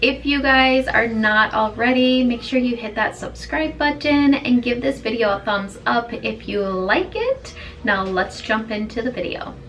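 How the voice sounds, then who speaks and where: A woman beauty vlogger with a hoarse, raspy voice, chatting in an easygoing, rambling way while doing her makeup at home. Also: a little high, unhurried, a bit bright, distant mic. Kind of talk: chatty get-ready-with-me talk.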